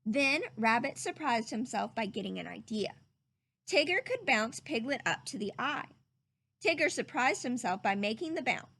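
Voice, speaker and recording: A woman reading a story aloud to children like a teacher, with expressive, dramatic emphasis. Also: on the high side, moderate pace, gravelly, husky.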